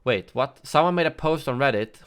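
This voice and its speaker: Male streamer, clear voice, average pitch, loose chatty reads of viewer chat with lots of ums. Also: animated, fairly quick, fairly bright tone. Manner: frequent fillers and self-corrections. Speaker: gaming news livestreamer. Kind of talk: casual livestream chat.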